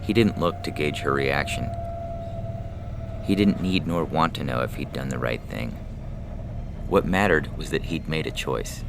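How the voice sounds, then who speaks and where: A man reading scripted science fiction narration in a gravelly storytelling voice. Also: a bass voice, unhurried, pauses often, background noise.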